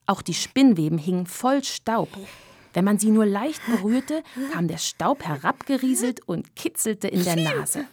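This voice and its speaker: A woman's voice: medium pitch, animated, a little slow, darkish tone, breathy edge. A woman narrator reading a scripted children's story in a radio play.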